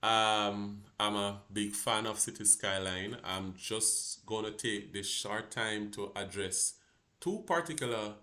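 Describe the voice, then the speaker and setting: A Jamaican man hosting a gaming channel, talking through a game fix in a halting, casual way with many fillers and restarts. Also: on the low side, pushed and bright. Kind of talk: casual tutorial walkthrough.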